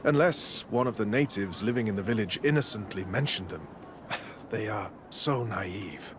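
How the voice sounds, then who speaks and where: An actor playing a zealous, smooth-talking priest in a video game, formal, preachy and condescending. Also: male voice, on the low side, moderate pace, noisy background, close to an everyday voice. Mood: disdainful. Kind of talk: scripted video game dialogue.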